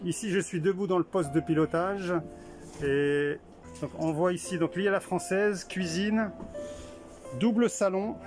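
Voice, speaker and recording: Man, casual camper-van walkthrough, slightly high voice, thinks aloud with restarts, music clearly behind him. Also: unhurried. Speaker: vlogger touring a camper van. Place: inside a small camper van at a trade show. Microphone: handheld camera.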